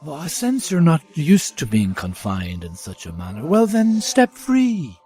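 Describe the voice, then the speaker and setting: An actor playing a sinister, gloating piper in an audio drama, slow and mock-courteous, savouring his words. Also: fairly high pitch, huge pitch swings, darkish tone, husky, soft background music, full character voice. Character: sinister ancient piper, gloating, taunting child-stealing villain.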